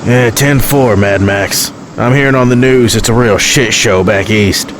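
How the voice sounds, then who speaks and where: A man's voice: lowish voice, measured pace, close to an everyday voice. A man playing a worried family-man trucker, plain-spoken and full of CB slang, in an audio drama. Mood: worried, resolved. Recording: noisy CB radio in truck cab.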